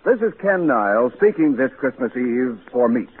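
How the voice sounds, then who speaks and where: An American man announcing on 1940s radio in formal, measured scripted narration, on a tinny old recording. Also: medium pitch, big pitch swings, slight background noise.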